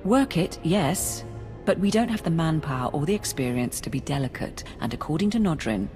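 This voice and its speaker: A woman playing a brisk, dry-witted Imperial military aide in a video game, businesslike and wry. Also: sits low, expressive, darkish tone. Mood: briefing. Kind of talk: video game briefing dialogue. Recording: game music behind the voice.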